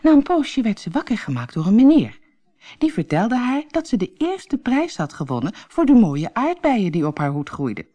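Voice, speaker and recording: A woman reading a scripted children's story as an expressive storybook narrator. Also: a little high, normal speed. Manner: expressive, acts out dialogue.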